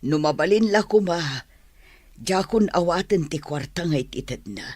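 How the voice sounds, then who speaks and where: A woman acts a caring, regretful mother in a family audio drama. Her husky voice rises and falls pleadingly, with an Ilocano accent and very little room sound. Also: fairly low pitch, lively intonation, moderate pace, darkish tone, dry recording, clean studio sound. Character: caring, remorseful middle-aged mother and wife.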